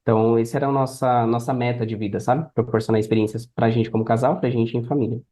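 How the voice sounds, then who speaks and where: A man's voice: medium pitch, steady tone, fast, mellow. A Brazilian man of about thirty telling his own story casually as a podcast guest.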